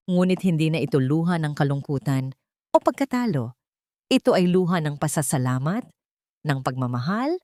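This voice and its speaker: A woman's voice: low voice, a little mellow, no echo, clean studio sound. A woman reading a dramatic scripted story as its narrator.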